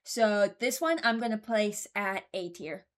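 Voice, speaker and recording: A woman's voice: medium pitch, unhurried. Rambling young woman, casual and unscripted. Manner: rambling, hesitant, thinking aloud. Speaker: video host ranking game voice lines.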